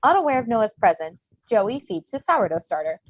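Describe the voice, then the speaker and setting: Lively, husky woman reading stage directions. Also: fairly high pitch, moderate pace, no echo. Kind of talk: scripted narration for comedy table read. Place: remote virtual table read. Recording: muffled video-call audio.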